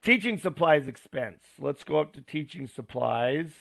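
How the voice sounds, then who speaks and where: A gravelly, hoarse man talks through accounting homework one entry at a time, in plain words with small asides. He sounds close and dry, with no room around his voice. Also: a little high, expressive, a little slow, a bit bright, no echo. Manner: plain step-by-step talk with asides and fillers. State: going hoarse. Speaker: instructor. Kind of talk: screen-recorded homework walkthrough.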